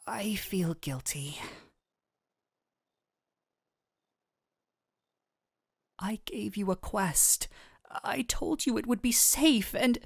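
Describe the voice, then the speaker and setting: A woman playing a gentle but firm, caring fantasy doctor in an intimate roleplay audio, speaking softly and earnestly to the listener. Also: mid-range voice, no room sound, clean studio sound. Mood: earnest, guilty. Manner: soft, soothing, unhurried, earnest.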